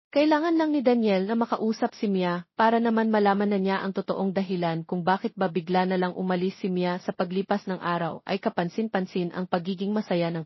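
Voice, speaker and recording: A woman's voice: medium pitch, no room sound. Woman narrating, slightly dull dry recording. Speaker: audiobook narrator. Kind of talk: scripted story narration.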